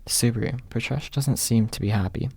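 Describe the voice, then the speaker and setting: A man voicing a gentle, candid young woman in a soft-spoken fantasy audiobook. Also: medium pitch, normal speed, vocal fry, husky, no echo.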